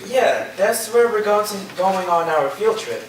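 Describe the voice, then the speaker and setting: A man's voice: sits high, very animated, measured pace. A man playing a laid-back, agreeable college student who talks casually and cheerfully in a staged reading. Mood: relaxed, upbeat. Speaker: actor in a staged play reading. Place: live staged reading in a reverberant room. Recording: echoey room, distant mic, faint noise.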